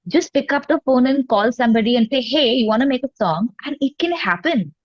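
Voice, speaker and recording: A woman's voice: high-pitched, lively intonation, brisk. Woman chatting casually, dull audio. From India. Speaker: singer-songwriter guest. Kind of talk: casual chat in an interview.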